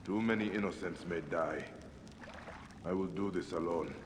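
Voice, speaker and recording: An actor playing a grave, determined assassin of ancient Egypt in a video game, terse and resolute. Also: male voice, sits low, moderate pace, background noise, close to an everyday voice. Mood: grave resolve. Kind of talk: video game cutscene acting.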